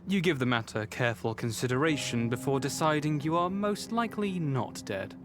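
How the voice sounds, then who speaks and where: A man reading scripted literary narration for a visual novel in a measured, formal storytelling manner. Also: on the high side, lively intonation, noisy background.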